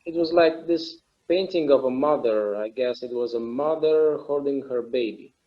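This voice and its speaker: A man acting a reflective immortal character in a naturalistic table read, speaking in a halting, earnest, conversational way. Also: medium pitch, natural voice. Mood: wistful, solemn. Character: wistful, reflective immortal man confiding in his partner. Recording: slightly dull, lo-fi sound.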